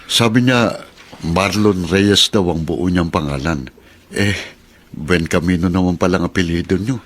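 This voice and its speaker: Very deep-voiced humble family man. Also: a little slow. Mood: hesitant, surprised. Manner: hesitant, respectful, earnest. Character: humble, tender working-class taxi driver, a foster father. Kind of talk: Filipino radio drama acting.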